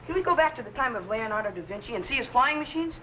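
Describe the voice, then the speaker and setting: A man's voice: very high voice, expressive, rapid-fire, pushed and bright, a little hiss or hum, heavily acted, poor audio. A young male actor playing an eager, awestruck boy assistant with an earnest, deferential manner in a 1950s sci-fi serial. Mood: curious. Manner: earnest, wide-eyed, deferential. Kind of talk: 1950s science fiction serial acting.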